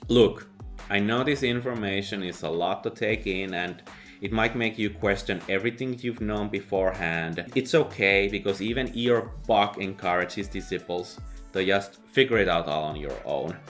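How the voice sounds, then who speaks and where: A man narrating a sardonic book review, dry and mocking with sudden loud outbursts. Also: on the low side, moderate pace, soft background music. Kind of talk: sardonic video essay narration.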